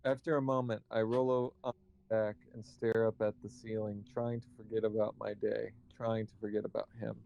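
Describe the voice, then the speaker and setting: A man reading visual novel narration aloud, halting at times and correcting his slips. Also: fairly low pitch, level tone, gravelly, mellow, muffled recording.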